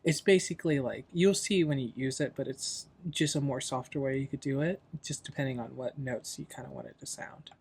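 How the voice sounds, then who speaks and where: A man hosting a software tutorial in a casual, loosely explanatory, informal way. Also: mid-range voice, brisk, vocal fry, hardly any pauses, slight background noise. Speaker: tutorial host.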